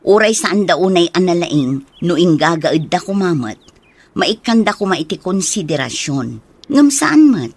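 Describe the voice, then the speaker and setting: A concerned, fair-minded schoolmistress, played by a woman in a radio drama. Her voice is on the low side and noticeably husky. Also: very animated, moderate pace, a little mellow, heavily acted.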